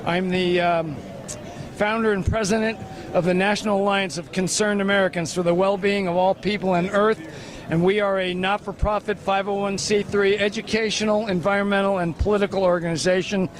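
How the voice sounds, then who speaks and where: A man's voice: high voice, level tone, fairly quick. A man, an activist, talks in a street interview, hesitating with many ums. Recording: crowd noise around.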